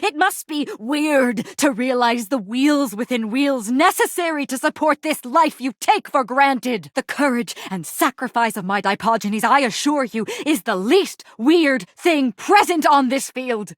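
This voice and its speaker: A woman playing a proud, brooding, war-weary commander in a video game, speaking in archaic, poetic lines with solemn pauses and heavy melodrama. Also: fairly high pitch, no room sound, studio quality, close to an everyday voice. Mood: indignant. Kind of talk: dramatic video game character voice acting.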